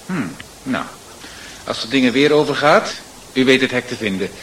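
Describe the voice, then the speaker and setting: Male actor: courteous clergyman for radio drama, slightly hesitant, very varied pitch, faint outdoor ambience. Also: animated, normal speed, a bit bright, slight background noise, lightly acted, poor audio. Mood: politely hesitant. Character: polite, softly insinuating clergyman and neighbour.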